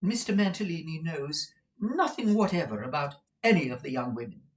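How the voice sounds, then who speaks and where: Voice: medium pitch, expressive, normal speed, dull audio, pitched above the performer's own voice, close to an everyday voice. A reader voicing an imperious, proper woman who runs a business, formal and emphatic in manner, in a storybook. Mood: businesslike. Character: imperious businesswoman, brisk, proper shop proprietress wife.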